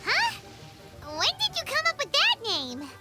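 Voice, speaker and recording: An actress voicing a tiny, cheeky floating companion creature in a game, chirpy and teasing. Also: female voice, extremely high pitch, wildly swooping pitch, measured pace, over loud music, heavily acted. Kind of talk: game voice acting.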